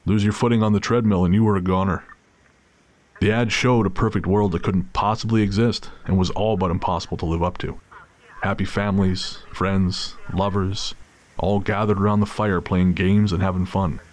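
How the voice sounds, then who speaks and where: A man's voice: low voice, fairly even intonation, normal speed, a little mellow. A man narrating a scripted audio drama as storyteller.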